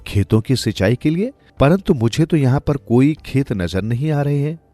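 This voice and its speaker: Man reading as eager young peasant, lively intonation. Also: mid-range voice, normal speed, no echo, faint music behind, voice pushed up, close to an everyday voice. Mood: concerned, curious. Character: clever idle young peasant son, kind and eager. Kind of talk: storybook character dialogue.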